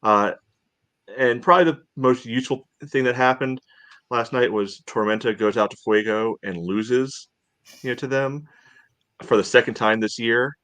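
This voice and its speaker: A man co-hosting a soccer podcast in casual discussion, thinking aloud in a halting way. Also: mid-range voice, a bit bright.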